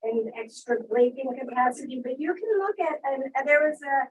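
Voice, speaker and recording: A woman's voice: sits high, animated, moderate pace. A woman speaking earnestly at a town meeting, arguing her point with statistics. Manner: earnest, argumentative, cites statistics. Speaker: resident speaking at public comment. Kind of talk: public comment at a town meeting. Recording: distant voice with faint hiss.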